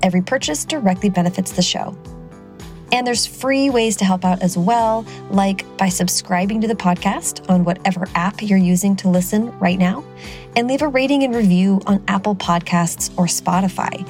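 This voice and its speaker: A woman's voice: mid-range voice, moderate pace, darkish tone, faint music behind. A woman hosting a podcast about writers, reading her upbeat intro and then asking interview questions. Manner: upbeat, promotional read. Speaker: podcast host.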